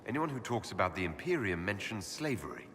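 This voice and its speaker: Casting: a questioning elf leader in a game. Direct, measured wording, animated intonation, slightly low voice in an echoing hall. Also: male voice, heavily acted. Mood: challenging. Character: earnest, questioning male elf leader, adult. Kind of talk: video game dialogue acting. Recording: reverberant hall, faint background noise.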